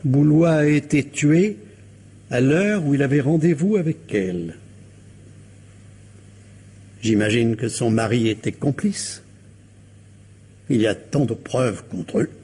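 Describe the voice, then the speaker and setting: A patient police commissioner in a radio mystery. He is a man of formal courtesy, with a husky, medium-pitched voice and a slow, measured, probing delivery. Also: dark tone. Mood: firm, courteous. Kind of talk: French radio mystery drama acting.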